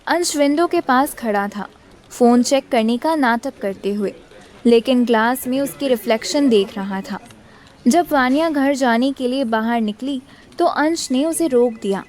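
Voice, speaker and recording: A woman's voice: on the high side, unhurried, darkish tone. A woman reading scripted narration for a romantic audio drama.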